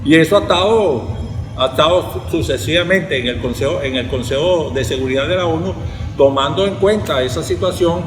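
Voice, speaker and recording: A man's voice: fairly high pitch, brisk. Venezuelan man in a very echoey hall. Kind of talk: spoken opinion in a panel interview.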